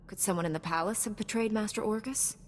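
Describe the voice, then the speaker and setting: An actress playing a resolute young female knight in a video game, calm, direct and earnest. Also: mid-range voice, fast, long reverb tail, slight background noise, lightly acted. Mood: composed. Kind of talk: video game cutscene acting.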